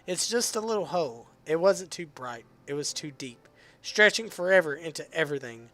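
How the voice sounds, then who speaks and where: A hoarse man reading the lines of a poised teenage girl who leads a literature club in a visual novel. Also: a little high, expressive, normal speed, fairly bright tone. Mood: recitative. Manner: reads visual novel dialogue aloud.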